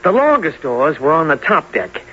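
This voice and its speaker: Intense, bright-voiced young dreamer. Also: male voice, a little high, big pitch swings, moderate pace. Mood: earnest, agitated. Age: young adult. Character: earnest, intense young man haunted by past-life memories. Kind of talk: 1970s radio drama acting. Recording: dull, noisy 1970s radio recording.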